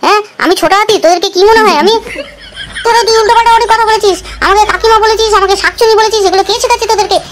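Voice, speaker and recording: Woman as shrill, very high-pitched scold. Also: steady tone, rapid-fire. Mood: furious scolding. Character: hot-tempered village sister-in-law, scolding and cursing. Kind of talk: comedy cartoon voice acting. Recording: clearly noisy recording.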